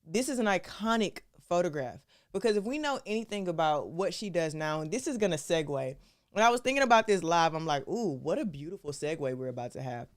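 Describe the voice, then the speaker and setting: A woman's voice: lowish voice, expressive, brisk. A woman hosting a live stream, talking to her viewers in a casual, chatty way and calling them y'all. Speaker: show host.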